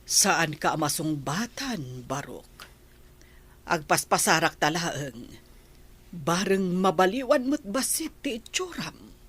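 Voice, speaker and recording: Woman playing concerned mother figure in a radio drama, slow talker, lots of pitch movement. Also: sing-song, a bit bright, breathy edge, full character voice. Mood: consoling. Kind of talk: Ilocano radio comedy drama acting.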